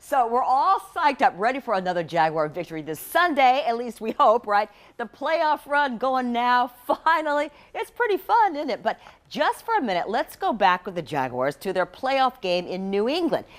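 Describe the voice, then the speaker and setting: Animated, high-pitched woman news anchor. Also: normal speed. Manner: animated, emphatic, chatty. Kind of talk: conversational news storytelling.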